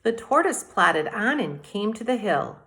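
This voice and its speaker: A woman teacher reading aloud and explaining to young children in a deliberate, expressive way, speaking to them directly. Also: medium pitch. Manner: deliberate, addresses children directly with questions and asides. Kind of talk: expressive children's read-aloud and mini lesson.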